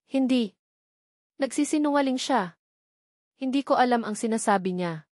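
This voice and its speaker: A woman's voice: fairly high pitch, lively intonation, normal speed, darkish tone, no echo, clean studio sound, pitched above the performer's own voice, lightly acted. A woman with a Filipino accent voicing a panicked, scheming rich young woman, defensive, in a storybook drama. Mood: panicked denial.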